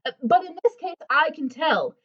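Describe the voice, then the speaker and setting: An actress plays a self-assured, gossipy housewife in a comic audio play. Her voice sits very high and swoops expressively as she holds forth pompously. The sound is a little dull and lo-fi. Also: big pitch swings, moderate pace, sharp tone, full character voice. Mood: self-assured, advising. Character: bossy, know-it-all gossipy housewife friend, amateur psychologist. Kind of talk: comedic audio drama acting.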